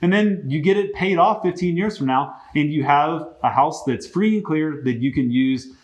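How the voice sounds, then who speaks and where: Male business-chat host, voice a bit higher than average, conversational, explanatory, gently teasing. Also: moderate pace. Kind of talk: casual business interview.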